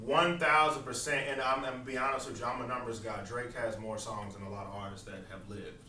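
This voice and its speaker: A man's voice: mid-range voice, steady tone, sharp tone, a little hiss or hum. A man with a nasal voice, talking in a laid-back, slangy way as a podcast guest. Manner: laid-back slangy talk, drawn-out trailing phrases. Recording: roomy echo from far off, faint ambience.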